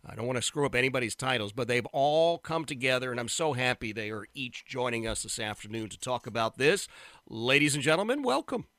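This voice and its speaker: A man from the Philadelphia area hosting a radio talk show, speaking loudly and emphatically with fillers and restarts. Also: medium pitch, animated, brisk, no echo. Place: radio studio. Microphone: studio microphone.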